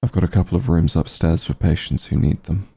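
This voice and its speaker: A man playing a gentle, concerned doctor who is an old friend, speaking slowly and soothingly in a soft ASMR roleplay, with a low, husky voice. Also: full character voice. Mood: firm, caring. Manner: slow, soothing, reassuring.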